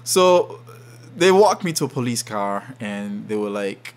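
A man from Saint Kitts, a podcast guest telling a story in lively conversation, often laughing and acting out what people said. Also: on the low side, unhurried. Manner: animated, laughs often, acts out quotes.

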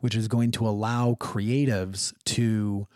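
A man's voice: lowish voice, fairly even intonation, takes it slowly, a little mellow, no echo, clean studio sound. A man in his thirties with an American accent, speaking casually and emphatically as an interview guest, repeating phrases to press his points. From Wyoming, United States. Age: 30s.

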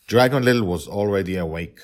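A man's voice: on the low side, brisk. A man hosting and narrating his own story in a storytelling style. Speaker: host, author and narrator.

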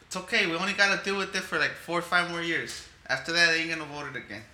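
A man's voice: a little high, fairly even intonation, fast talker, pushed and bright, echoey room. A man in his thirties co-hosting a podcast, boastful and profane, often repeating himself. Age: 30s. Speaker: podcast co-host. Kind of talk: casual comedic banter.

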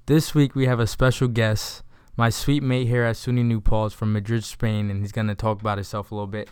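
A young man hosting a casual interview show, asking questions in a relaxed, conversational way. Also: lowish voice, a little fast, clean tone, dry recording, studio quality. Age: young adult. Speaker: host.

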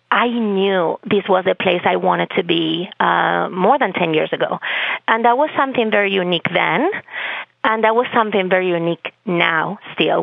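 A woman's voice: medium pitch, creaky, dry recording. Spanish-accented woman on a phone line. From Spain. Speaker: expressive arts therapist. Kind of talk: podcast interview. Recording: phone-line audio, dry.

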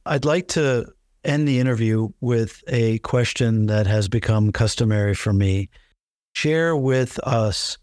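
Host guy asking slow deliberate questions, dry close sound. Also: lowish voice, a little mellow, dry recording. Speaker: podcast host, interviewer. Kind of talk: measured interview questions.

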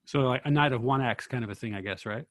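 A man with a mid-pitched voice hosts an informal discussion. He rambles and halts, rephrasing himself on the way to a question, and the sound is dry with little room around it. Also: no room sound. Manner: rambling, halting, self-correcting. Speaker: discussion host asking questions. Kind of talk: casual group discussion.

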